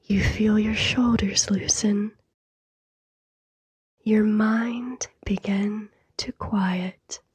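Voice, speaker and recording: A woman softly narrating a scripted sleep meditation in a hushed, breathy whisper, soothing and unhurried, with long pauses. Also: mid-range voice, fairly even intonation, no echo.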